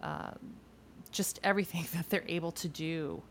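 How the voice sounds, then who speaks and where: A woman's voice: on the low side, fairly even intonation, moderate pace, slight background noise. A woman from Argentina who sings, speaking thoughtfully in a casual conversation. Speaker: singer.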